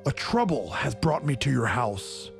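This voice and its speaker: A man voicing a weary, loyal male wolf who speaks gravely and slowly in a told story. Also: mid-range voice, big pitch swings, over music, voice pushed down.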